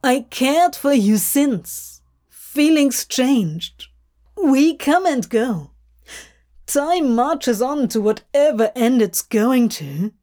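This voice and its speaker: A woman's voice: high-pitched, lively intonation, drawn-out words, warm tone, full character voice. A woman voicing a sardonic male demon in a story, blustering, exasperated and reassuring by turns. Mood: exasperated, tender.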